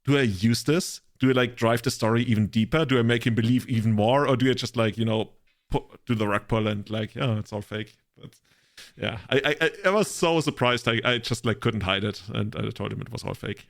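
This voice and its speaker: A man's voice: mid-range voice, brisk, vocal fry. A man co-hosting a casual podcast chat, rambling and joking, with many filler words. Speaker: podcast co-host.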